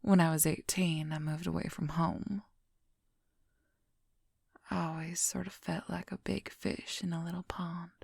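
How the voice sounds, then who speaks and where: A woman acts out a possessive young werewolf woman in an ASMR role-play. She whispers very slowly and intimately, with a husky, breathy voice that crackles with heavy fry. The sound is close and dry. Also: fairly low pitch, flat delivery, darkish tone, no room sound, full character voice. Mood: confiding, wistful.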